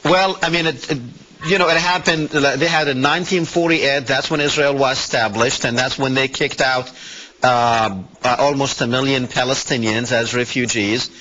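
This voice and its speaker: A man's voice: mid-range voice, steady tone, normal speed, sharp tone. A man hosting a live call-in discussion, explaining points and challenging with questions. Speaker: host of a call-in talk show. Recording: slightly muffled broadcast audio.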